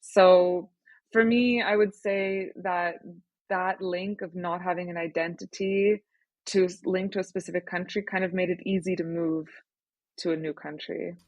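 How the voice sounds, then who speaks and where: A woman in her thirties from Canada, talking things over as a podcast guest. Her voice sits low for a woman, and she is recorded close on a dry mic with no room sound. She answers in a thoughtful, reflective way and laughs easily. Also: steady tone, unhurried, darkish tone, dry recording. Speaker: guest, startup founder. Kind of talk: casual interview conversation.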